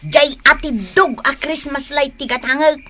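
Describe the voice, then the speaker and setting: High, sharp envious housewife. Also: female voice, a little slow, a little hiss or hum. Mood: indignant, competitive. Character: envious, competitive housewife scorning her show-off neighbour. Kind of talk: Ilocano radio comedy drama acting.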